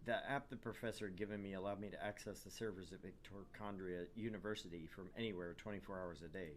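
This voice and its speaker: A man's voice: low voice, fairly even intonation, quick pace, a little hiss or hum. A man hosting a let's play, chatting casually and reading a visual novel's narration aloud. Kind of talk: gaming chat and first-person story read-aloud.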